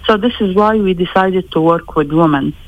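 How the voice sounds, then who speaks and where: A woman's voice: fairly low pitch, no room sound. Woman from Lebanon on a lo-fi phone line. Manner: measured, thoughtful, slow with pauses. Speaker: interviewee. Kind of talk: recorded interview.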